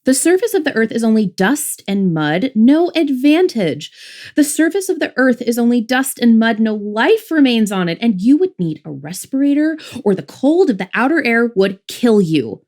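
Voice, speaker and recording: A woman playing a brisk, dismissive, devout middle-aged mother in a full-cast science fiction audiobook. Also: a little high, big pitch swings, darkish tone. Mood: shocked, dissuading. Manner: brisk, fussy, disapproving.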